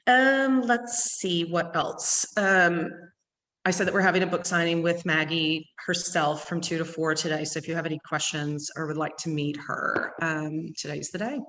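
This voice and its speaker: A woman with an East Texas accent, a gallery owner giving a quick, chatty tour full of ums. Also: low-pitched, fairly even intonation, fairly bright tone. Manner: fast-talking with many ums. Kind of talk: virtual gallery tour talk. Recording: long hall reverb.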